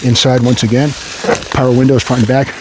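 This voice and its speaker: A man's voice: mid-range voice, flat delivery, brisk. Man giving rambling car commentary, background noise. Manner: rambling, repeats phrases, wry asides. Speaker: car show host. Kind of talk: unscripted walkaround car commentary.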